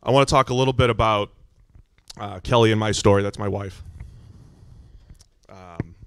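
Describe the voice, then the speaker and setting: Middle aged american guy, big booming lowish voice, chatty church testimony, pretty dry sound. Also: normal speed, creaky, clear, resonant tone, no room sound. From Massachusetts, United States. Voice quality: loud, booming voice. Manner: informal, conversational storytelling. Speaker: visiting church speaker. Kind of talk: personal testimony to a congregation.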